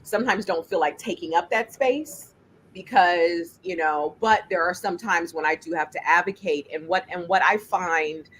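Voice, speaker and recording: A woman filmmaker with a deep voice and lots of bass, speaking emphatically in a panel discussion over a video call. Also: normal speed, a little hiss or hum, rough recording. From United States. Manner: emphatic, animated, laughs while speaking. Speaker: filmmaker and juror.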